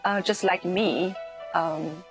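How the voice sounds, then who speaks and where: A woman from China with a Chinese accent, speaking thoughtfully in an interview, pausing between phrases. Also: fairly low pitch, steady tone. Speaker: musician. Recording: soft music underneath.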